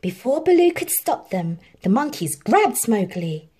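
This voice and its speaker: A woman narrating a children's storybook in an expressive, measured read-aloud style. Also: fairly high pitch, breathy edge.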